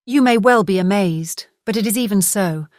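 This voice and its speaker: Woman acting a distressed, gentle English lady. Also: mid-range voice, normal speed, dry recording, studio quality, close to an everyday voice. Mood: eager, amazed. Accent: British. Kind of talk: full-cast audiobook drama reading.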